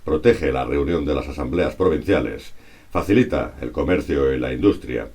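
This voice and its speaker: A man from reads a scripted historical narration in a formal, measured, bookish manner. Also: extremely low pitch, a little mellow.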